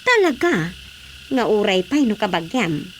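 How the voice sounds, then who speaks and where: A woman's voice: mid-range voice, very animated, measured pace, a little hiss or hum. A woman with a Filipino accent acting a cheerful wife in a radio drama. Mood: conversational.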